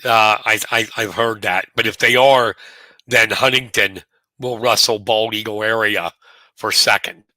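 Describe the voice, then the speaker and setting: This is a hoarse man, doing play-by-play for a live wrestling stream. His voice is very bright and has a forceful edge, and it sounds close and dry. He talks casually while reeling off rankings and weights. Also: fairly low pitch, fairly even intonation, no echo. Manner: rapid rundown of rankings, conversational. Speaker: wrestling commentator. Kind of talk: live sports commentary. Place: press box at a sports venue.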